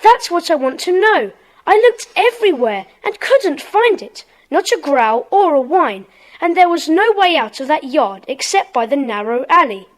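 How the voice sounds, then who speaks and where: Young schoolboy, high voice, lively intonation, earnest, eager, children's audio play. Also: female voice, moderate pace, poor audio. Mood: excited, puzzled. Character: excited, puzzled schoolboy amateur detective. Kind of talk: children's audio drama acting.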